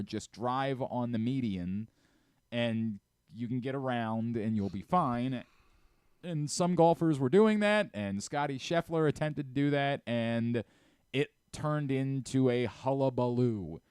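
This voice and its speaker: A man hosting a casual sports talk radio show, telling stories in an animated way and acting out exchanges. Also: medium pitch, smooth, no room sound, clean studio sound. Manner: animated storyteller who re-enacts exchanges. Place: radio studio.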